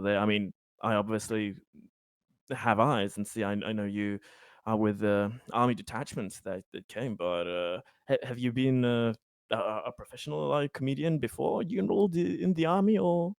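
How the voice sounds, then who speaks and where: He is a courteous, affable colony director from a tabletop game as a man acts him out. His voice is mid-pitched and he chats politely, feeling his way haltingly through sentences. The sound is dry and close. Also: lively intonation, a little mellow, no room sound, close to an everyday voice. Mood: courteous, chatty.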